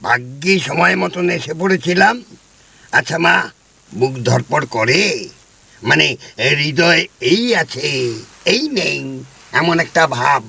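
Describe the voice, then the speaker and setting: An actor playing a pompous, self-assured village herbal doctor in an audio drama. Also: male voice, on the high side, animated, slow, creaky, bright, forceful tone, breathy edge, heavily acted. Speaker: Ayurvedic healer (kaviraj).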